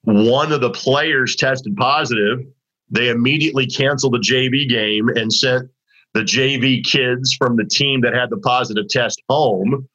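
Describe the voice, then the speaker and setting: A man hosting a high school basketball talk segment, his voice a bit raspy from overuse, talking conversationally with frequent restarts. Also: bright, forceful tone. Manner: conversational, rambling with restarts and fillers. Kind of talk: casual sports talk. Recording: dull, lo-fi audio.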